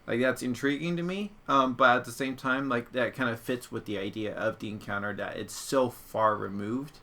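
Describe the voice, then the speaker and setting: A man's voice: mid-range voice, moderate pace, pushed and bright. A young adult man co-hosting a podcast, chatting casually and giving blunt opinions on audio dramas. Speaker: podcast co-host.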